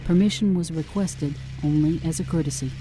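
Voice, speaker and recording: An actress playing a composed, by-the-book starship commander who speaks formally and firmly. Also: female voice, sits low, brisk, close to an everyday voice, low-quality recording. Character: cool, by-the-book female commander. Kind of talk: fan audio drama acting. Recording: music score underneath.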